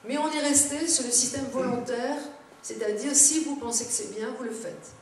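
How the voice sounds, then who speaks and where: A woman's voice: on the high side, normal speed. A woman giving a public lecture to elected officials, explaining things with informal asides. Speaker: conference speaker, local government adviser. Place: large echoing indoor seminar room. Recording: very echoey room, distant mic, background noise.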